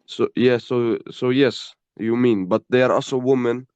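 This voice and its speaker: Blunt halting man. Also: medium pitch, steady tone, a little mellow, clean tone, dry recording, lo-fi sound. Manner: halting, repeats words, blunt and dismissive. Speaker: stranger in an online debate. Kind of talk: heated argumentative debate.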